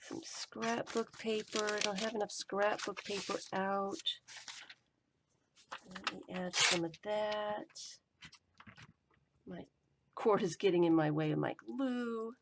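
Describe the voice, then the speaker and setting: A woman crafting and talking to herself as she works, her voice going hoarse. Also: medium pitch, slow, long pauses, noisy background. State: voice giving out, hoarse. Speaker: collage journaling vlogger. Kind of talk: casual running commentary while crafting.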